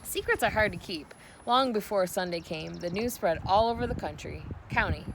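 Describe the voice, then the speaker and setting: A woman reads a children's story aloud as narrator, at a middle pitch. There is clear noise in the recording. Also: animated, normal speed, fairly bright tone.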